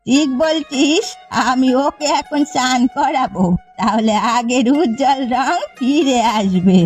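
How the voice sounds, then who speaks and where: A woman's voice: sits high, a little slow, a little mellow, slightly rough, soft background music. A woman voicing a doting, indignant old village woman in a folk-tale cartoon, exclaiming dramatically. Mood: fussy, doting.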